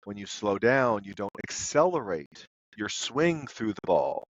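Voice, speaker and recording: Male coach guest, low voice, explains with emphasis, dry and slightly dull recording. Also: sing-song, unhurried, no echo. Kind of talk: instructive interview answers.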